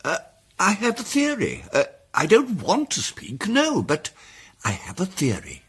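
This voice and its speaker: Hesitant, husky English gentleman sleuth. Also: sits high, wildly swooping pitch, measured pace, exaggerated voice. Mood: flustered, timid. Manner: hesitant, polite, circling, stammering starts. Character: timid, diffident, apologetic amateur sleuth gentleman, quietly persistent. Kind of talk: radio mystery drama acting.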